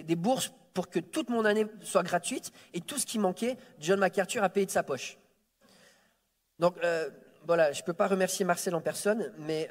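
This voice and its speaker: A man, preaching a Sunday sermon as a guest, joking about himself and often repeating words as he restarts. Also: sits high. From France. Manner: warm self-deprecating humor, frequent stammered repeats. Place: church sanctuary. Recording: reverberant church hall.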